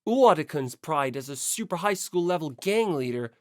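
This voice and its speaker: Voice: mid-range voice, expressive, normal speed, a little mellow, dry recording, studio quality. An audiobook narrator reading a first-person story expressively.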